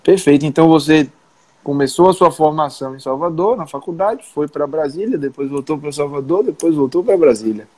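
A man hosting an interview, his voice pitched a little above the usual. He speaks in a friendly, informal way and keeps checking in with his listeners. His delivery stays casual and easygoing throughout. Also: a little fast, warm tone. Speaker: interview host, physician.

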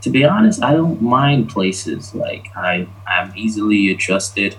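A Jamaican-born man, talking casually as an interview guest, relaxed and rambling with lots of ums. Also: fairly low pitch, measured pace, husky, rough recording. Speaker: interview guest, graphic designer.

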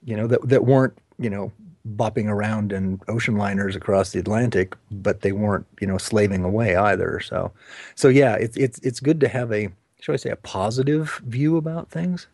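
A man co-hosting an interview, talking casually in a discursive, halting way. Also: fairly low pitch, darkish tone, no room sound, studio quality. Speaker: co-host.

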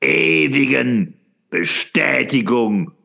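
Gravelly, fervent brooding philosopher. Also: male voice, mid-range voice, very animated, sharp tone, exaggerated voice. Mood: grave, urgent. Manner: declamatory, halting, with dramatic pauses and repetitions. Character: fervent, brooding male philosopher proclaiming eternal return. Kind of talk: theatrical radio play monologue.

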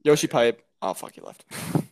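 A man's voice: normal speed, darkish tone, no room sound. A nineteen-year-old man bantering casually on a podcast, slangy and sweary, chipping in with short remarks. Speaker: podcast co-host.

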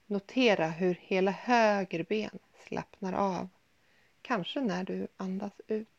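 A woman guiding a yoga nidra body scan in a soothing, gentle, unhurried manner with pauses. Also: mid-range voice, vocal fry, no echo, muffled recording. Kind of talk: guided meditation, body scan.

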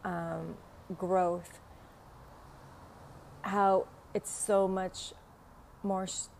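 A middle-aged woman with a Vietnamese accent speaks slowly and haltingly as she hosts a spiritual podcast, often pausing on fillers and soft laughs. Her voice sits at an ordinary middle pitch. Faint city traffic drifts in through an open window behind her. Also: flat delivery, gravelly. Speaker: podcast host, spiritual teacher. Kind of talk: casual spoken podcast monologue.

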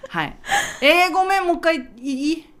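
A woman co-hosting a podcast, bantering casually in an excitable, teasing, mock-bossy way. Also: very high-pitched, moderate pace. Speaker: podcast co-host.

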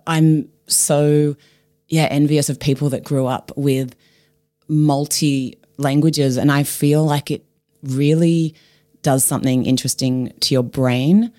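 An interviewer asking friendly questions, eager and quick to exclaim. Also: medium pitch, fairly even intonation, warm tone, no echo, clean studio sound.

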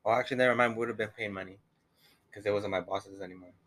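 A man's voice: lowish voice, steady tone, racing, fairly bright tone. A young man co-hosting a casual couple's podcast, rambling with many fillers and the odd swear, with a slight accent. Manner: rambling, lots of "like" and "you know", swears. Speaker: podcast co-host.

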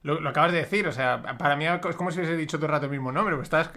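Male podcast host, higher-pitched and bright, chatty, laughs easily. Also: very fast. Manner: laughs often, acts out quoted remarks. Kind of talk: casual chat.

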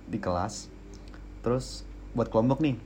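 Laid-back young man with a dark voice. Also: mid-range voice, measured pace, slight background noise. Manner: laid-back storytelling, frequent restarts and laughs. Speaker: podcast co-host. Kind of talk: casual slangy chat.

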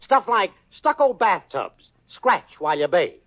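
A man's voice: high-pitched, big pitch swings, measured pace, very noisy, full character voice. An actor playing a wisecracking small-time hustler boyfriend in a 1940s radio sitcom, full of brash salesman patter. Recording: dull old radio broadcast, audience laughter.

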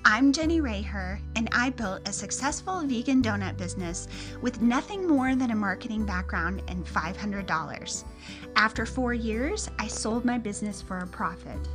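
A woman in her thirties hosting a solo business podcast, chatty and upbeat with many fillers, sounding a bit nasal from a cold. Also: a little high. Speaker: podcast host and business mentor.

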